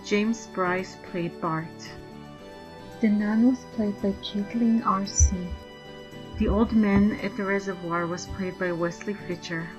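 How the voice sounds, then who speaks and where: A woman reads out a list of credits slowly and deliberately. The recording is dull and lo-fi, with music playing clearly behind her. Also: medium pitch, fairly even intonation. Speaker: credits reader. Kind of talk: reading credits.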